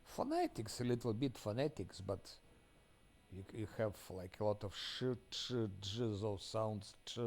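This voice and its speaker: A man from Ukraine, a game streamer giving casual live commentary, often halting as he searches for words in English. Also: fairly low pitch, big pitch swings, a little mellow.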